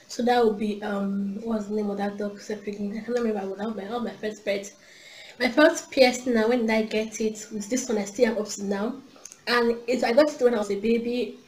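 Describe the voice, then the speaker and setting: A woman's voice: on the high side, roomy sound, a little hiss or hum, poor audio. Giggly Nigerian woman vlogging at home. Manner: chatty, giggly, animated hand gestures. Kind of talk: casual chat to camera. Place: small indoor room at home.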